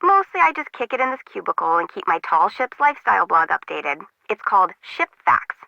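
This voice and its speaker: A woman's voice: mid-range voice, very animated, a little fast, gravelly, pushed and bright, no echo, muffled recording, exaggerated voice. A woman voicing a quirky, anxious young intern who works as a radio operator in a video game, with slangy, dry, jokey delivery. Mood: dry, chatty. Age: young adult. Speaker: harbor control tower operator.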